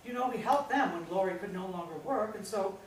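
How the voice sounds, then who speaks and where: A woman's voice: mid-range voice, brisk, close to an everyday voice. A woman playing a fussy, nervously cheerful middle-aged mother in a live staged reading, brittle and placating. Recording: very echoey room, distant mic, hiss.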